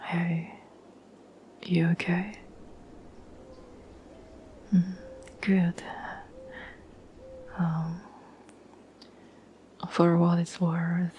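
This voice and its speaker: Woman doing a soft whispery asmr girlfriend, gentle n earnest, lowish voice, really unhurried, bit of background noise. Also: level tone, poor audio. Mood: gentle, apologetic. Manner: gentle, slow, halting, earnest. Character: tender, devoted girlfriend reassuring an anxious partner.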